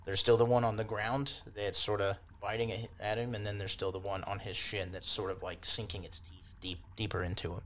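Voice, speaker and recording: Low-voiced man running a tabletop game. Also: flat delivery, brisk, hardly any pauses, a bit bright, a little hiss or hum. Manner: informal, loosely improvised descriptions. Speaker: game master. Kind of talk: casual tabletop game narration.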